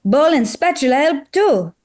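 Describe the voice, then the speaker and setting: Kindly cartoon mom put on by a woman, high and lilting, for a children's story table read. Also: lively intonation, unhurried, darkish tone.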